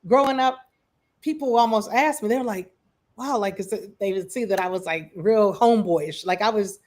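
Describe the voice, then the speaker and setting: Chatty Midwestern woman telling a story. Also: mid-range voice. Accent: Midwestern American. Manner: animated storyteller, frequent "like" and "um", quick asides. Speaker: livestream co-host. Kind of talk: casual chat.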